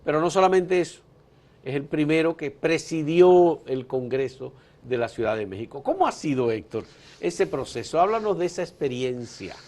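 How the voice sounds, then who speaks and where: A man's voice: a little high, expressive, normal speed, darkish tone. A man hosting a political interview, posing long, leading questions and framing remarks.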